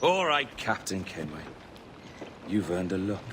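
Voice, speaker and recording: Slow, swaggering pirate captain. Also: male voice, sits low, sing-song, background noise. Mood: sly, musing. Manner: theatrical, sardonic, relishing his words. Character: swaggering, cynical, ruthless male pirate captain. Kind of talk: video game cutscene acting.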